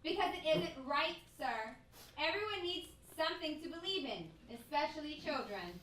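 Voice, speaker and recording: A proper, earnest secretary, acted by a woman who is deferential but gently persistent. Her voice is high and bright in this stage radio play, heard in an echoey hall with faint noise. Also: expressive, moderate pace, recorded from a distance. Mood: earnest, gentle. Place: playhouse stage.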